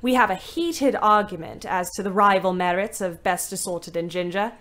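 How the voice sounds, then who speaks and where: A young British woman ambulance driver played by an actress narrates her wartime diary for an audio drama. She is wry and resentful, with an emphatic delivery at a middle pitch. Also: normal speed, fairly bright tone, natural voice. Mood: cheerful. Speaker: actor narrating an audio play.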